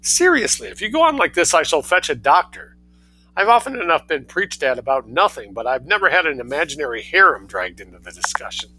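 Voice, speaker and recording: A man's voice: a little high, sing-song, brisk, pushed and bright, faint music behind, voice pushed up, low-quality recording. A man reading a story aloud, voicing a cool, sarcastic young man who snaps back dryly. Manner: snappish, dry.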